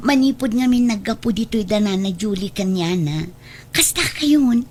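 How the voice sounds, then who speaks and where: A woman's voice: mid-range voice, lively intonation, normal speed, a little mellow, slight background noise, natural voice. A woman acting a young adult daughter in a radio drama, anxious and nosy, with a questioning, pleading manner. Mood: probing, pleading. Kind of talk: amateur-style radio drama acting in Ilocano.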